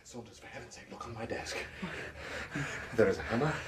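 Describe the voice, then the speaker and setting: An actor playing an agitated, commanding English gentleman haunted by horror in a radio drama, swinging from whispers to shouts. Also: on the low side, a little fast, natural voice. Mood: frantic, commanding. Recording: noisy 1980s radio drama broadcast.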